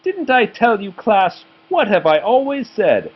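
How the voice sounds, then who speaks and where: Strict storybook schoolmarm played by a man, high-pitched and scolding, secretly crazed, on a dull home recording. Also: sing-song, a little slow, slightly rough, heavily acted. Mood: stern. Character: strict, menacing woman health teacher, secretly chocolate-crazed.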